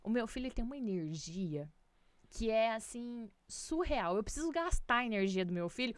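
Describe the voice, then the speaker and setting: A woman's voice: a little high, expressive, normal speed, no room sound. A woman with a Brazilian accent speaking as an interview guest, casually and emphatically giving opinions and checking in with tag questions. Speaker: podcast guest, doctor.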